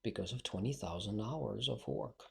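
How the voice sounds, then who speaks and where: A man's voice: medium pitch, normal speed, vocal fry, fairly bright tone. A male speaking coach, not a native English speaker, talking bluntly and directly in a solo podcast monologue.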